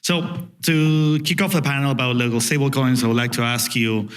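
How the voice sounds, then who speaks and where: A man's voice: medium pitch, fairly even intonation, moderate pace, clear, resonant tone. A man hosting a conference panel, upbeat and friendly as he introduces the speakers and asks questions. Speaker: panel host.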